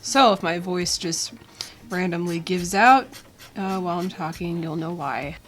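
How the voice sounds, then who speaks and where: A woman's voice: fairly low pitch, unhurried. A young woman hosting a drawing tutorial, talking casually with many ums, her voice still weak from a cold. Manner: relaxed, chatty, with many ums and uhs. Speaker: art tutorial host. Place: indoors at a drawing desk.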